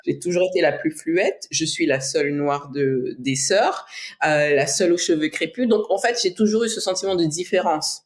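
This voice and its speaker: A woman's voice: low-pitched, normal speed. A woman from French Guiana, a bodybuilding athlete, talking as a guest in a casual podcast interview, reflective, with many fillers and restarts. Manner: reflective, frequent restarts and fillers, laughs easily.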